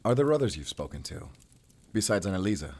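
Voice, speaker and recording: A man's voice: sits low, sing-song, quick pace, gravelly, darkish tone, breathy edge, full character voice. A man playing a stern, guarded werewolf hunter, terse and commanding, in an audio drama. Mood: questioning, guarded. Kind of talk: cinematic audio roleplay acting.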